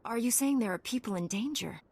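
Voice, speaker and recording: A woman playing a composed, dutiful knight in a video game, measured and assured. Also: fairly high pitch, animated, darkish tone, husky.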